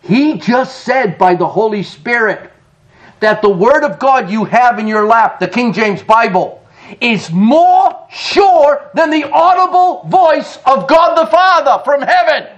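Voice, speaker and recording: A middle-aged man preaching a sermon in a church, emphatic, with quick chuckling asides. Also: extremely high pitch, expressive, fairly bright tone. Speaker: pastor. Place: church sanctuary.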